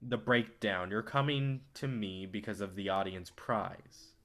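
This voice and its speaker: A man's voice: lowish voice, measured pace, fairly bright tone. A man in a staged play reading, acting the part of a curt, domineering film company boss with a dismissive, profane manner. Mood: cold, firm.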